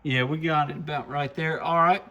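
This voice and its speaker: A man's voice: a little high, steady tone, moderate pace, pushed and bright, highs cut off. A man giving a casual product demo in a folksy, enthusiastic way, like a shop presenter talking to viewers.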